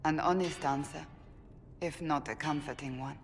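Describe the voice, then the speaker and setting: An actress playing a stern, troubled holy warrior in a video game, speaking gravely and formally. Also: female voice, sits low, normal speed, big-room sound, background noise. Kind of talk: video game dramatic voice acting.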